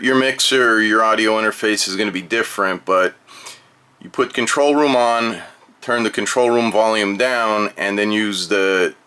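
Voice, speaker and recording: A man's voice: on the low side, bright, forceful tone. A man casually presenting a hands-on how-to, talking directly to viewers. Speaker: tutorial presenter.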